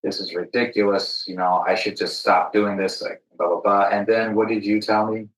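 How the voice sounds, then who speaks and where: A man with an American accent and a fairly low voice hosts an informal podcast. He talks in a joking, self-deprecating way. The room around him sounds clearly echoey. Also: flat delivery, moderate pace. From Virginia, United States. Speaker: podcast host. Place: alternative studio space, indoors.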